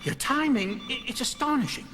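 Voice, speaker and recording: Man playing a fussy high-pitched shopkeeper, radio play. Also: a little fast, long reverb tail. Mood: amazed. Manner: blustering, querulous, theatrical. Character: fussy, flustered male shopkeeper and wireless experimenter. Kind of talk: old-time radio drama acting.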